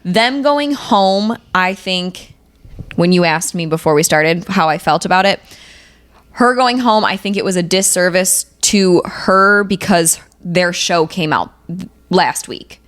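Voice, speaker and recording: A woman's voice: lowish voice, a little slow, fairly bright tone. A woman co-hosting a podcast in casual, chatty, informal banter. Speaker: podcast co-host.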